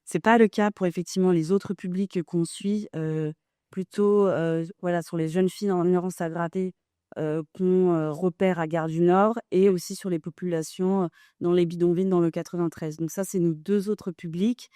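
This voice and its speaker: A woman from a charity's finance side explaining things at a panel discussion, measured with some fillers. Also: fairly low pitch, fairly even intonation, mellow, clear, resonant tone, no room sound, studio quality.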